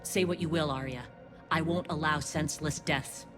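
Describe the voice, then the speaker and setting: A woman's voice: low voice, steady tone, normal speed, over music, natural voice. An actress playing an earnest, principled female militia leader in a video game, firm and military in manner. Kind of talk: video game cutscene acting.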